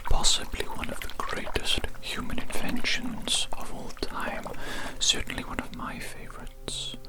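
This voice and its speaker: An ASMR performer whispering a medical roleplay as an oddly formal, effusive alien doctor posing as human, with deliberate phrasing and repeated words. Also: rough recording.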